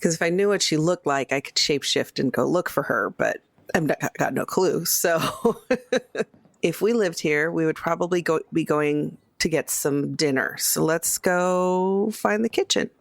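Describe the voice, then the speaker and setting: A woman plays a tabletop game in a low, dry-sounding voice. She casually talks through her character's plans and moves at an easy, slow pace. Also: creaky, no room sound.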